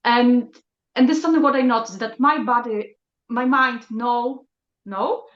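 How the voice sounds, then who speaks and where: A woman who learned English later shares her thoughts in a group call, halting and reflective as she searches for words. Her voice sits fairly high. The call audio is echoey and dull. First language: not English. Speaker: session participant.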